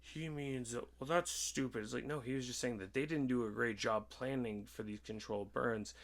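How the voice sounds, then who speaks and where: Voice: medium pitch, moderate pace. A podcast host chatting casually and giving opinions in an informal, emphatic way.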